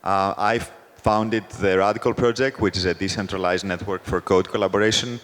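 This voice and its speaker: Very deep flat-voiced man from Greece. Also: moderate pace. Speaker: panel guest, software founder. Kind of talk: self-introduction on a panel. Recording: long reverb of a large hall.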